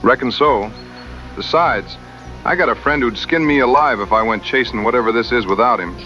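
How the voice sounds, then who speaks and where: An actor playing a confident, plainspoken American frontier hunter with laconic, folksy dry humor in a western audio drama. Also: male voice, medium pitch, moderate pace, pushed and bright, muffled recording, faint music behind, natural voice. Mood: steady, resolute.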